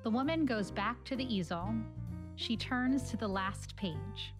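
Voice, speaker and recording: A woman's voice: a little high, measured pace. A woman reading scripted stage directions as the narrator of an audio play. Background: music.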